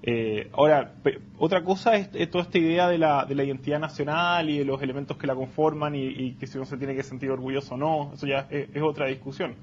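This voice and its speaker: Quick-talking man on a panel. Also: a little high, fairly even intonation. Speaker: youth panelist. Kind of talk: panel discussion. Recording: dull sound with faint background noise.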